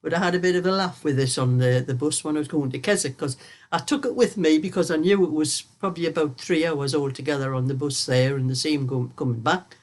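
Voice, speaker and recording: Older woman, vlog host, croaky with a cold, rambling and chatty. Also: low-pitched, fast talker, a little mellow. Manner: rambling, chatty storyteller who laughs often. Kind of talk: casual chat while knitting.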